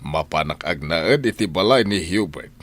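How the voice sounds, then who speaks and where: Low, animated scolding father, acted by a man. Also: moderate pace, no echo. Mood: exasperated, scolding. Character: exasperated older father scolding his wife over money. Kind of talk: radio drama acting.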